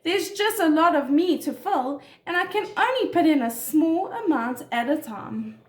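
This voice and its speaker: A woman's voice: sits high, animated, measured pace, pitched above the performer's own voice. Woman as a grumbling big storybook elephant, echoey room. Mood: grumbly, defensive. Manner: grumbling, protesting, emphatic. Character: big grumbling young elephant, defensive about his size. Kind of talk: children's storybook read-aloud.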